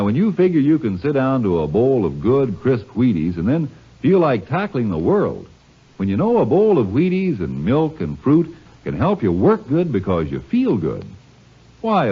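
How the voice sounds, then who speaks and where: An American man reading a folksy, chummy 1950s radio commercial as the sponsor announcer. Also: breathy edge. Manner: folksy, chummy salesman pitch. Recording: dull old radio recording with faint music.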